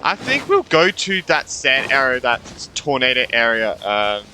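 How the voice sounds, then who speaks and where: A man's voice: medium pitch, wildly swooping pitch, a little slow, music in the background, rough recording. A man giving casual let's-play commentary, thinking aloud, repeating himself and reading game dialogue out. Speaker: gaming streamer.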